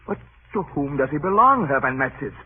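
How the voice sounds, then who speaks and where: A man's voice: a little high, very animated, brisk, slightly rough. A man playing a flustered, indignant ship's captain in a 1930s radio drama, stammering and repeating himself. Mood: bewildered, indignant. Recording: scratchy tinny 1930s radio broadcast.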